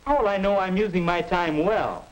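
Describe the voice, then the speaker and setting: Earnest, higher-voiced American teenage boy, played by a man. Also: normal speed, natural voice. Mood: pondering. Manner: earnest, questioning, stagey. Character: restless, doubting American teenage boy named Ken. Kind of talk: 1950 educational film acting. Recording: noisy 1950 film soundtrack.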